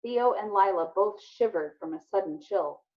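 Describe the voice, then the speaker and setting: A woman's voice: mid-range voice, normal speed, roomy sound. A woman reading a children's novel aloud as storyteller, acting out the dialogue. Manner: acts out dialogue. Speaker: story reader. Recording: muffled, lo-fi recording.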